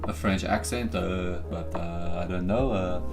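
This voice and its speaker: A young South African man who hosts a live day-trading stream. He chats casually with his viewers, cheers his trades loudly and then drops into low, joking asides. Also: measured pace, music in the background. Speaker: day-trading livestream host.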